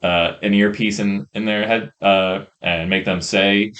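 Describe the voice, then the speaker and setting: Man, low pitch, podcast co-host, casual, hesitant and rambling, rough mic audio. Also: flat delivery, measured pace, fairly bright tone, highs cut off. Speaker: podcast co-host reviewing films and shows. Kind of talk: casual chat.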